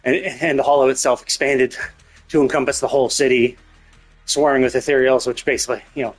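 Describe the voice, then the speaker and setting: A man's voice: mid-range voice, flat delivery, fast talker. A man streaming a game with casual, rambling commentary and some swearing, explaining things as he thinks aloud. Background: game music.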